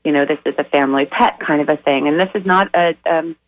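Hoarse raspy lady telling a long story, lots of ums, kinda muffled line. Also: low voice, fast, no echo. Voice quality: raspy, slightly crackly. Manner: earnest, storytelling with many ums. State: hoarse from a weekend of events. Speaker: guest, animal charity founder. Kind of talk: radio interview.

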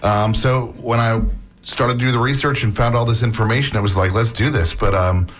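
A man talking casually as a guest author on an interview show, relaxed and chatty, with many fillers and restarts. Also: medium pitch, a little fast. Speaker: book author, academic.

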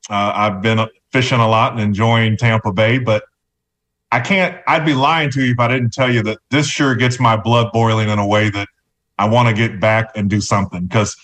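A man's voice: lowish voice, fairly even intonation, moderate pace, fairly bright tone. A man speaking as a guest on talk radio, giving conversational political commentary. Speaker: radio show guest, former political candidate.

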